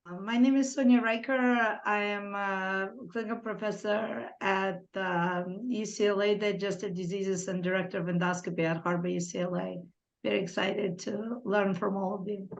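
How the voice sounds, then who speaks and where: A woman's voice: medium pitch, steady tone, moderate pace, gravelly. A woman co-directing a course, briefly and professionally introducing herself on a panel. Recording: dull, lo-fi call audio.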